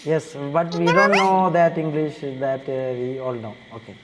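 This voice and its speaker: A man's voice: fairly high pitch, animated, drawn-out words, a loud crowd. A man streaming a role-play game, chatting casually with other players. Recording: noisy live game voice chat.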